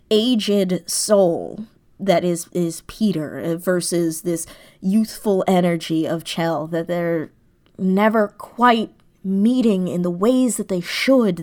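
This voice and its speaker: Chatty woman, effusive storyteller. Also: fairly low pitch. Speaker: podcast guest, audio drama writer. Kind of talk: casual interview chat.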